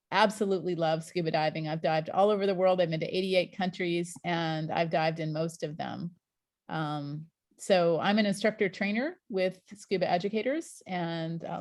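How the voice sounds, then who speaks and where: A woman's voice: fairly low pitch, no echo, clean studio sound. A middle-aged woman and scuba instructor trainer, giving an encouraging, chatty introduction to an online class over a video call.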